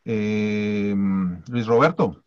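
A man's voice: on the low side, steady tone, very slow, clear, resonant tone, dry recording. A man hosting an online meeting, calling on participants one by one over a video call. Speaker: meeting host. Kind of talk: casual meeting moderation. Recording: dull lo-fi video call audio.

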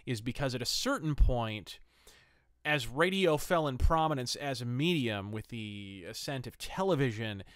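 A man's voice: medium pitch, animated, normal speed, a bit bright, clean tone, dry recording, clean studio sound. A man hosting a review podcast, enthusiastic and semi-scripted, mixing ornate, wordy phrasing with blunt asides.

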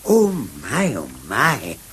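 Slow-talking, excitable old mole. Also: male voice, mid-range voice, heavily acted. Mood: giddy delight. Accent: English. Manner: muttering, hesitant, repeating himself. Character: timid, excitable old mole, easily delighted. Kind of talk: 1970s British radio drama acting. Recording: hissy old radio broadcast.

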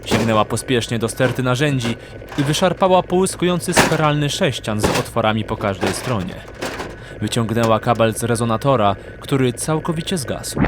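A man narrates a scripted fantasy story in a medium-pitched voice. His delivery is measured and suspenseful, like dramatic audiobook storytelling, with music clearly playing behind him.

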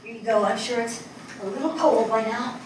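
Older actress as a reflective grieving woman writer, wry, higher-pitched, deliberate stage delivery, very echoey hall. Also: steady tone, lightly acted. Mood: gentle. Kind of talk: dramatic stage reading of a play. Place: small theatre stage before seated audience. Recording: echoey stage hall, distant mic, faint noise.

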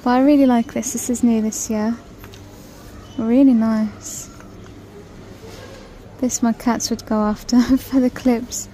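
Woman, casual shop vlog voice, slightly high, flat delivery, mellow tone; faint store hum behind. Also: takes it slowly, long pauses, rough recording. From United Kingdom. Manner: chatty, listing prices while browsing. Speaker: shopping vlogger. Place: large store aisle, indoors. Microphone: handheld phone camera.

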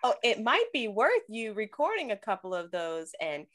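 A woman's voice: medium pitch, wildly swooping pitch, normal speed, fairly bright tone. A woman co-hosting an interview over a video call as a business coach, playful in her suggestions.

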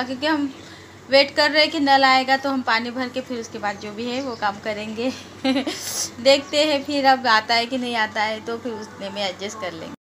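A woman's voice: sits high, bright, forceful tone, a little hiss or hum. A middle-aged woman chatting casually through a home vlog, homely and hesitant, full of fillers and restarts. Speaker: home vlogger. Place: home and courtyard, indoors and outdoors.

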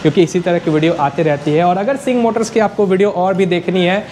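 A man's voice: high-pitched, fast, a little mellow, a little hiss or hum. A young man hosting a casual vlog walkthrough in an indoor showroom, chatty and repeating the dealer's answers for his viewers, on a lapel mic. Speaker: vlogger host. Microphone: clip-on lapel mic.

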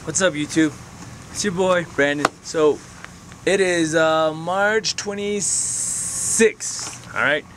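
A man's voice: fairly high pitch, takes it slowly, noisy background. A man in his twenties talking casually to his camera outdoors, rambling and frank, swearing now and then. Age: 20s. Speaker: vlogger. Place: outdoors on open flat ground. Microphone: camera mic, close framing.

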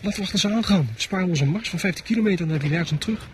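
An actor playing an eager, naive new soldier in a radio drama, polite, excitable and full of questions. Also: male voice, sits high, rapid-fire, natural voice. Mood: eager, nervous. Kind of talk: Dutch radio drama acting. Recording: faintly noisy radio play recording.

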